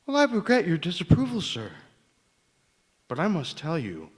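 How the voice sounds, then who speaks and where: A man's voice: a little high, wildly swooping pitch, moderate pace, breathy edge, full character voice. A man playing a blunt, brash, stiffly formal young suitor in an old-time radio drama, on an echoey stage. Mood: defiant. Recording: echoey church hall stage.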